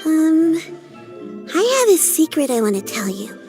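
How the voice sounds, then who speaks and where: A woman's voice: sits high, big pitch swings, measured pace, dark tone, faint music behind, full character voice. A woman voicing a shy, earnest young girl who speaks hesitantly. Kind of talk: video game voice acting.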